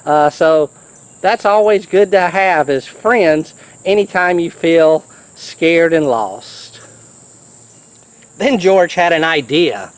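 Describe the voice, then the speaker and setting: Children's storybook reader, lively swooping pitch, slow delivery, chatty asides and exclamations. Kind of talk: children's book reading with playful asides.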